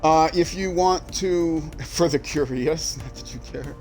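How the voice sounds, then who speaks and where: A man's voice: on the high side, measured pace, a little mellow, soft background music. An older man from Minnesota with a Minnesota accent, rambling and self-deprecating as he streams a driving game. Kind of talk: casual gameplay commentary.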